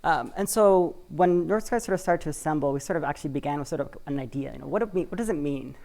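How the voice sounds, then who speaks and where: A woman from Washington State speaks from a conference stage in a loose, conversational way, leaning on fillers and hedges. Her voice sits low with a gravelly, creaky edge. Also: animated, quick pace, warm tone. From Washington State, USA. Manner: conversational, many fillers and hedging words. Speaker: conference speaker for a community cooperative. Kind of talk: live conference talk. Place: conference stage, in front of an audience.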